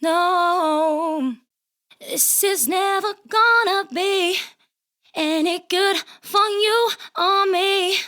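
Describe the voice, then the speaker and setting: A woman's voice: very high-pitched, extremely slow, pushed and bright. A woman singer performing a sung pop vocal. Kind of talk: sung vocal take, repeated pop phrase.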